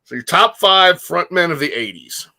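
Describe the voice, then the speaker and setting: A man with an American accent and a fairly high, bright, forward voice. He hosts in a casual, conversational style, working through his notes and lists. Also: animated, normal speed. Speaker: host presenting show listings and top-five lists. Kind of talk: casual chat.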